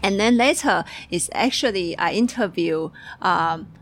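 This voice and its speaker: Female co-host from Taiwan, low gravelly voice, halting restart-heavy delivery. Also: animated, a little hiss or hum. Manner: halting, many restarts and fillers, laughs often. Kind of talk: casual chat.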